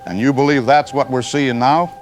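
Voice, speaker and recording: A man playing a serious, probing news anchor in an audio drama broadcast, measured and formal with a concerned manner. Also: mid-range voice, steady tone, music in the background, close to an everyday voice. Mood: concerned, incredulous.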